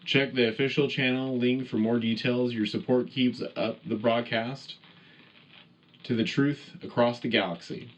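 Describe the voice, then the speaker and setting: A man's voice: medium pitch, fairly even intonation, unhurried, muffled recording, lightly acted. A man playing a patriotic wartime radio news anchor, hosting a scripted military broadcast with formal announcer delivery. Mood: friendly, promotional. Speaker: radio show host.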